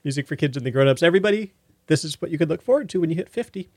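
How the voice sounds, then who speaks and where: A man's voice: a little high, animated, very fast, darkish tone, no room sound. Male radio host, dry close studio sound. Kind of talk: casual radio back-announcing and chat.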